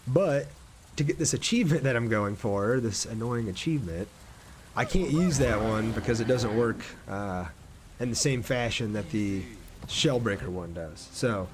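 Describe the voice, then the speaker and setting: Male game streamer, casual chatty commentary, some background noise and ambience. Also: mid-range voice. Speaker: game livestreamer.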